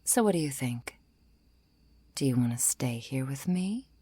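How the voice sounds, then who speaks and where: A woman playing a seductive, menacing undead vampire in an intimate ASMR roleplay, coaxing and softly threatening, often slipping into whispers. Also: lively intonation, unhurried, full character voice. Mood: persuasive, possessive. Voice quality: low, breathy, creaky.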